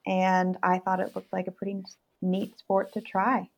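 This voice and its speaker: A woman in her twenties from Vermont, answering interview questions as an athlete guest in a steady, articulate way. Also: mid-range voice, unhurried, vocal fry. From Vermont, United States. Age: 20s. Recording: lo-fi remote call line.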